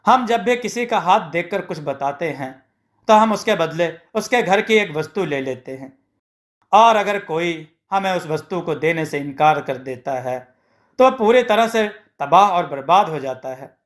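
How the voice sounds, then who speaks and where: A high-pitched, pompous fake holy man from a moral tale, acted out by a male storyteller. He is a lazy farmer in disguise, boasting grandly and ordering people about. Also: animated, unhurried. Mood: pompous, boastful. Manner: pompous, bossy.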